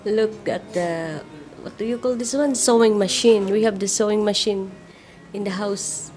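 A woman's voice: medium pitch, moderate pace, a little mellow. A woman from the Philippines filming a casual breakfast vlog in a small cafe, talking to her viewers in a chatty, giggly way on a handheld phone. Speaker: vlogger. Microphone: phone held at arm's length. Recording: classical music playing behind.

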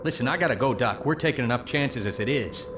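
An actor playing a friendly, wry undercover guard in a video game, talking informally. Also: male voice, medium pitch, lively intonation, fast, noisy background, lightly acted. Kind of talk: casual video game dialogue.

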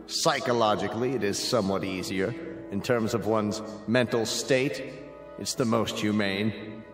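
An actor as a cold chancellor in an echoing hall. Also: male voice, on the low side, steady tone, measured pace, faint music behind, close to an everyday voice. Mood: coldly procedural. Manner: formal, pronouncing, bureaucratic. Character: cold, officious state chancellor passing sentence, man. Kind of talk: radio drama acting. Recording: echoey reverberant hall.

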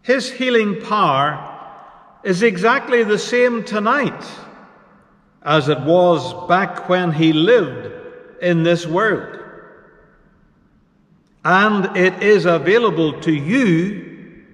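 A man preaching a sermon as pastor, measured and unhurried with pauses between phrases. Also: high voice, fairly bright tone. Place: church. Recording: echoey church hall reverb.

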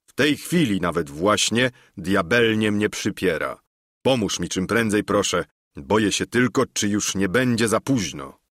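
A man voicing a deluded, solemn old knight-errant who speaks in a grave, lofty, measured way. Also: lowish voice, lively intonation, a bit bright, dry recording, clean studio sound.

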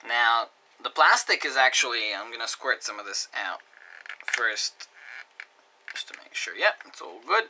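A man walks through a do-it-yourself project at his desk in a relaxed, step-by-step way. His voice is bright and lively, sinking low whenever his hands are busy. Faint noise sits under the recording. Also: a little slow, pauses often, low-quality recording. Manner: casual, step-by-step, trails off quietly while working. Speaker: electronics teacher. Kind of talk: hands-on DIY tutorial narration. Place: indoor desk workbench.

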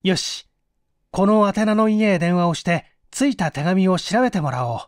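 A man voicing a hot-headed, sharp-reasoning man in an audiobook mystery, speaking forcefully and emphatically. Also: high voice, expressive, no room sound, clean studio sound. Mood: brisk, commanding. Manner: forceful, emphatic, quick to conclude.

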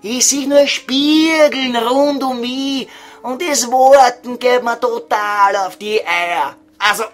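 A man's voice: very high voice, slow pace, soft background music. A man playing a cheeky, foul-mouthed estate agent in a comedy audio sketch, talking colloquially with a Viennese accent. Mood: grumbling. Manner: crude, wheedling, colloquial.

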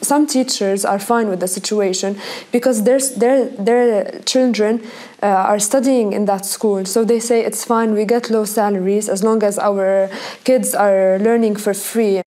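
A woman's voice: medium pitch, steady tone, unhurried, warm tone. A young woman teacher answering interview questions indoors, hesitant and repeating words. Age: young adult. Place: indoor room.

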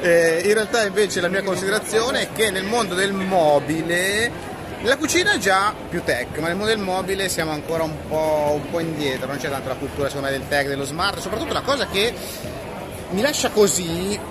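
A man speaks in a rather high voice. He chats to camera in a joking, animated way, like a gadget reviewer. A busy fair hall crowd is clearly heard around him. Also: fairly quick, noisy background. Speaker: tech reviewer and vlogger. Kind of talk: casual chat to camera while demonstrating products. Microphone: handheld phone at arm's length.

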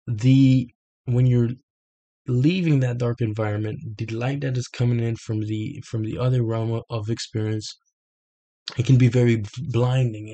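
Brief: young man, dark mellow tone with gravel, halting unscripted explainer, webcam in a small bedroom. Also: mid-range voice. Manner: hesitant, many fillers and restarts. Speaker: self-made explainer. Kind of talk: unscripted explanation over a screen share. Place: small bedroom with red lighting, indoors. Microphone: webcam at desk.

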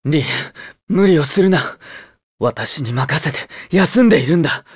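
An actor playing an earnest, protective young demon martial artist in an anime game, solemn and formal. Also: male voice, fairly high pitch, lively intonation, a little slow, breathy edge, dry recording, clean studio sound. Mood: firm, protective.

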